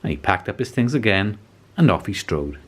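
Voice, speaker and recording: A man reading a children's story aloud as an expressive, deliberate narrator. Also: on the low side. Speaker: storybook reader.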